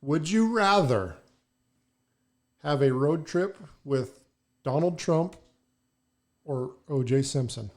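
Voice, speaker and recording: A man hosting a casual podcast chat, loud and booming, who laughs often while asking the questions. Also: animated, slow pace, pauses often. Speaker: podcast host.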